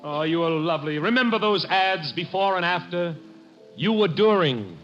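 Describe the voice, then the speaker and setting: A man's voice: high voice, animated, normal speed, loud music behind the voice. A male comedian on an old radio show, firing off gags and crooning in mock romance. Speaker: comedian host. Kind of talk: 1940s radio comedy monologue. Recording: dull old radio broadcast with orchestra.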